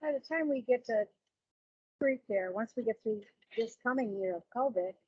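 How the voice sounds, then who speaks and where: A woman with a slightly high, husky voice talks informally in a local business meeting. The recording is dull and lo-fi, though fairly dry, with little room echo. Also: animated, moderate pace. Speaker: business association member. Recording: muffled, low-fidelity meeting recording.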